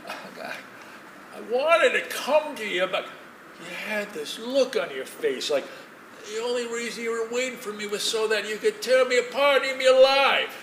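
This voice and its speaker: A man playing a halting old man, echoey stage. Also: high-pitched, fairly bright tone. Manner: halting, pleading, wistful. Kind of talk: stage play acting, dramatic dialogue. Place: stage in a theatre, distant microphone. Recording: echoey, noisy theatre recording from far off.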